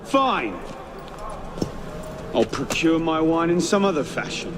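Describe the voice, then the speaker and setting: A man's voice: a little high, big pitch swings, slow, heavy background noise. A man playing an impulsive, defiant young Assassin in a video game cinematic. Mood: irritated. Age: young adult. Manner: hot-headed, insistent. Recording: loud background music.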